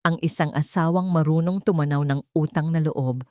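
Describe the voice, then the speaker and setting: A woman narrator playing a haughty elderly mother-in-law, sharp and scolding, in an audio love story. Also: deep voice, steady tone, normal speed, no echo, clean studio sound, close to an everyday voice. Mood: cold scolding.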